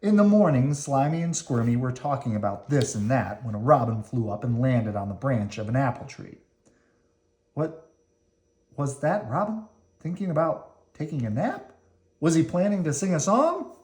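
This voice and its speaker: A woman reading a children's storybook aloud in an expressive, dramatic way. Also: a bass voice, moderate pace. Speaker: storybook reader. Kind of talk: children's read-aloud narration.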